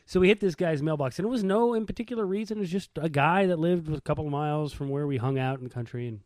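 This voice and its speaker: Higher-pitched man telling wry stories. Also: fast talker, darkish tone, no echo, clean studio sound. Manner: wry, irreverent storytelling. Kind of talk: casual anecdotal chat.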